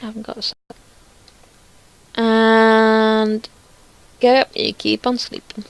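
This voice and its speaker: A woman's voice: a little high, little pitch movement, slow pace, smooth, no room sound. A woman giving casual screen demo commentary as a creator showing her own project, rambling and thinking aloud with some self-deprecation.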